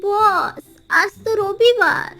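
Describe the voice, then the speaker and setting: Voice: extremely high pitch, slow pace, loud music behind the voice, exaggerated voice. An actor playing a small, whiny, cheeky and boastful henchman in a comedy cartoon. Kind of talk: Bengali comedy cartoon dubbing.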